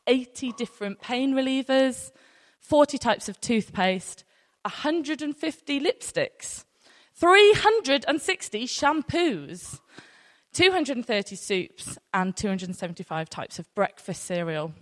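A woman's voice: high-pitched, sing-song, measured pace. A woman in her thirties with a British accent gives an informal sermon to a congregation in a conversational, anecdotal way, using rhetorical questions. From near North Wales, UK. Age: 30s. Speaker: church speaker. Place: large room, live talk to an audience. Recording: echoey hall with long reverb.

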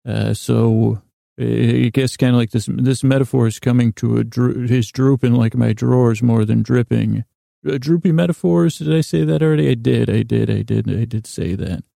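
An American man hosting a bedtime podcast with a gravelly voice, rambling in a lighthearted, gently silly way and often stumbling over and correcting his words. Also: mid-range voice, fairly even intonation, moderate pace, no echo, clean studio sound. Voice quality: gravelly, creaky. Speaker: podcast host. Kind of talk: rambling bedtime monologue to lull listeners to sleep.

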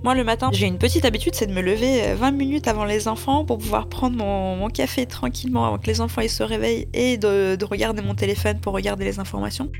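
Late-20s woman from russia, halting and full of pauses, flat and a bit gravelly, soft music under. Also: on the high side. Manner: hesitant, many pauses and fillers. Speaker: Red Cross volunteer interpreter. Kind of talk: personal testimony.

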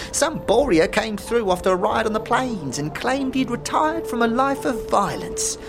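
An actress playing a cheery old weapons merchant with folksy, chuckling sales patter in a fantasy audio drama. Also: female voice, fairly high pitch, big pitch swings, a little slow, over music. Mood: jovial, boastful.

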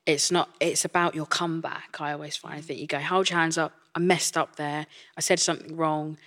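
A woman with a low-pitched voice hosts a live podcast on stage. She talks in a casual, chatty way and is quick to agree with her guest. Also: level tone, fairly bright tone. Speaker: podcast host.

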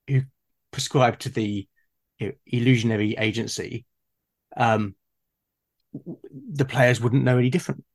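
A man with a medium-pitched voice speaks off the cuff in a conversation. He is hesitant, often repeating words while he works toward his point. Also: steady tone, moderate pace, pauses often. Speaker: game master in discussion. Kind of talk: unscripted gaming discussion.

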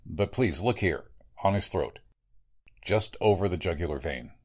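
Man acting a low, grave medical examiner. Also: animated, a little slow, slightly rough, exaggerated voice. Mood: grave, uneasy. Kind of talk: 1940s radio drama acting.

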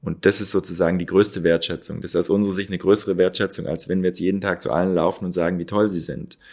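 A man's voice: low voice, level tone, fast, a little mellow. A man who founded a hotel, talking reflectively as an interview guest, searching for words with many fillers.

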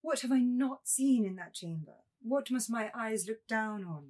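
A woman acts a young nymph spirit, high and breathy. She is coy and deeply scandalised, delivered theatrically as in a staged reading. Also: lively intonation, normal speed, darkish tone. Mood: shocked. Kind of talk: dramatic table reading.

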